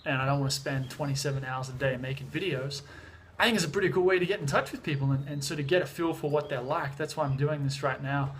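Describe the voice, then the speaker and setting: A young man with an Australian accent talks to a handheld camera in a relaxed, rambling vlog style. His voice sits a little high and is slightly hoarse from a cold, with a faint hum of background sound. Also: fast talker, hardly any pauses. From Sydney, Australia. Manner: relaxed and chatty, many fillers and restarts. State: a bit hoarse from being sick. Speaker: music producer vlogger. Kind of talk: casual vlog talk to camera. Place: home in the mountains, moving between outdoors and indoors.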